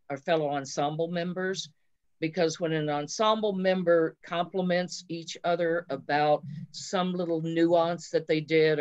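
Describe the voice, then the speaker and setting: Woman theater teacher on dull lo-fi audio. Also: deep voice. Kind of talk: casual conversation on a panel. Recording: dull, lo-fi video call audio.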